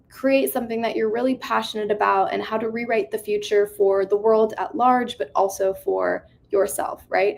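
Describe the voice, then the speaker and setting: Twenties woman speaker, vocal fry, earnest and reflective talk over a video call. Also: mid-range voice, darkish tone, slight background noise. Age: 20s. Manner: earnest, reflective, many fillers. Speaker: conference speaker. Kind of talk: motivational talk with slides. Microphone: video call mic.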